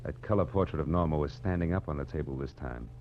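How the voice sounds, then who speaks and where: An actor playing a hard-boiled, world-weary private detective in a 1940s radio drama, with an American accent and clipped, deadpan delivery. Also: male voice, rumbling low, fast talker. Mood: tense, measured. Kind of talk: 1940s radio noir acting, first-person narration. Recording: muffled old radio recording with music.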